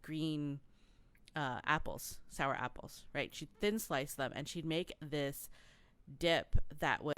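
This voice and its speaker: A woman co-hosting a travel podcast in casual chat, telling stories in an animated way with plenty of fillers. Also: low-pitched, slow pace, clean tone. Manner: chatty, animated storytelling with many fillers. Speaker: podcast co-host.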